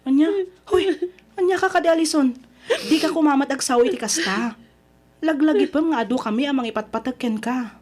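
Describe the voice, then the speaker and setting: A woman's voice: high-pitched, a little fast, darkish tone, slight background noise, lightly acted. A young woman acting a supportive, frank friend in a radio drama, exasperated but caring in her delivery. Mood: scolding, concerned.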